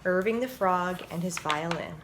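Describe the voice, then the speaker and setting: A woman presenting and reading a children's story aloud in an expressive, clearly told storytelling manner. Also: fairly low pitch, moderate pace, background noise.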